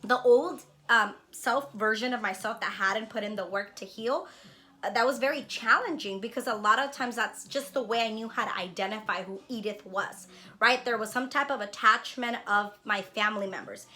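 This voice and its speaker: A woman's voice: a little high, moderate pace, bright, forceful tone. A woman talks casually and earnestly to her viewers, reflective and often checking in with a "right?". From born in Honduras, living in Los Angeles. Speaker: spiritual vlogger and card reader. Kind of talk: casual heartfelt talk to viewers.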